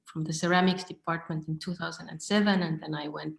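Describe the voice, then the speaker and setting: Echoey, even-toned woman with an Estonian accent. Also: low voice, brisk, vocal fry. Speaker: artist being interviewed. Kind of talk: informal online studio talk. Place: home studio, indoors. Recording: echoey, roomy laptop sound.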